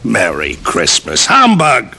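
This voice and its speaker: A man's voice: on the low side, huge pitch swings, takes it slowly, vocal fry, pushed and bright, slightly rough, exaggerated voice. An actor playing a grouchy, miserly loan shark in a 1940s radio play, snapping out tough-guy slang. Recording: old radio with music.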